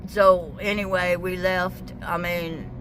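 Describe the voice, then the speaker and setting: A woman's voice: fairly low pitch, level tone, slow pace. A woman in her seventies from Texas, telling her story in a casual street interview, rambling and plainspoken with many restarts. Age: 70s. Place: outdoors by a busy road. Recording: loud traffic noise outdoors.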